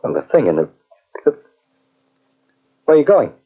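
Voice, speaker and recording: An actor playing a brave man in an old-time radio drama, speaking in an earnest, controlled, halting way. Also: on the high side, very animated, slightly rough, heavily acted. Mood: wary, uneasy. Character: brave male companion trapped in a tomb, quietly reassuring. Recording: dull, muddy old cassette radio recording.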